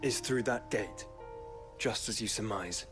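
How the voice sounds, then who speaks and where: A man's voice: fairly low pitch, unhurried, music in the background, lightly acted. An actor playing an earnest, scholarly young nobleman in a video game, speaking formally and eloquently with a British accent. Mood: resolute. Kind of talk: dramatic video game acting.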